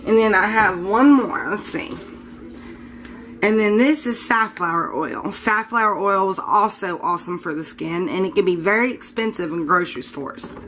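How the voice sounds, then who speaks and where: A woman's voice: medium pitch, fairly bright tone. A woman with a congested, scratchy voice chatting casually in her home kitchen, talking informally and correcting herself as she goes. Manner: chatty, informal, a bit unsure, self-correcting. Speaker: home soap maker. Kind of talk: casual show-and-tell vlog.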